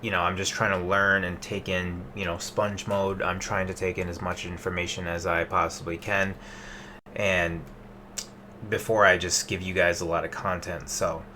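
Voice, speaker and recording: Chatty young man, bright forceful tone. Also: low voice, flat delivery, normal speed, slight background noise. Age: 20s. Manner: loose and chatty, many fillers and restarts. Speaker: investing vlogger. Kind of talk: casual rambling webcam update. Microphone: webcam.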